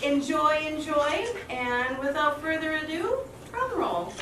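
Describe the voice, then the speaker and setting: A woman's voice: sits high, takes it slowly. A woman giving an effusive live welcome speech to an audience, asking them questions as she introduces a community performance. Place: large room with a live audience. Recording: echoey room from far off, noisy.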